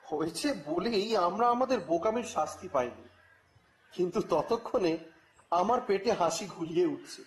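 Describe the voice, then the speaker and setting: A man narrating a story in the first person, with expressive, theatrical phrasing and a scripted dramatic style. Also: high voice, moderate pace. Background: music.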